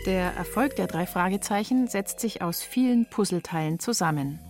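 A woman's voice: mid-range voice, expressive, normal speed, darkish tone, faint music behind. A woman reporting, reading scripted narration for a radio feature.

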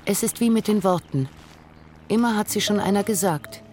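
A woman's voice: medium pitch, moderate pace, darkish tone, faint music behind, close to an everyday voice. An actress playing a pensive, disillusioned woman in a literary radio drama monologue, reflective and insistent. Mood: pensive, resigned.

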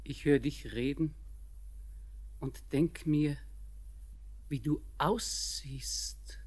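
Blind grandmother in her old age, radio play, extremely slow, brooding, wistful. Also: low voice, darkish tone, breathy edge, exaggerated voice. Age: older. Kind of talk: classic radio play acting.

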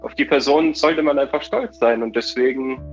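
A man's voice: medium pitch, normal speed, soft background music. A young man chatting casually as a guest, speaking in an emphatic, slangy way. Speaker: interview guest on a call. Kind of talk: casual chat. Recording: dull, lo-fi call audio.

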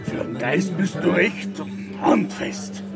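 Audio drama: man as boastful masked ape flirt, Bavarian dialect, creaky gravel, unhurried pace. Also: sits high, expressive, loud music behind the voice, full character voice. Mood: swaggering, flirty. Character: man in King Kong costume, boastful, flirting gorilla swagger.

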